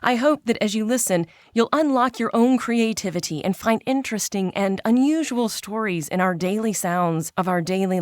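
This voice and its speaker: A woman's voice: medium pitch, no room sound, studio quality. A woman hosting an audio drama podcast, reading a scripted introduction. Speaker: host and audio drama creator.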